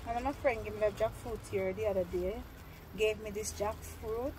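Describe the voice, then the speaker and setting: A woman's voice: medium pitch, fairly even intonation, moderate pace, background noise. A young woman chatting casually to her viewers in a cooking vlog, filming herself on a phone outdoors. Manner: chatty, talks straight to viewers while eating. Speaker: vlogger. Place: outdoors under a tin-roofed shed in a yard. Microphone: phone held at arm's length.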